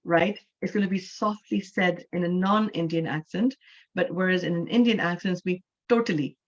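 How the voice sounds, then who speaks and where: A patient woman in her forties from Canada, her voice sitting a little low as she teaches English. She stretches out and repeats key words to make her points. The sound comes through a dull, lo-fi video call. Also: normal speed. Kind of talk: online class lesson.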